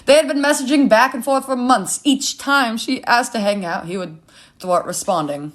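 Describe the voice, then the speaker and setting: A woman's voice: on the high side, normal speed, a bit bright. A woman reading a scripted short story as its narrator.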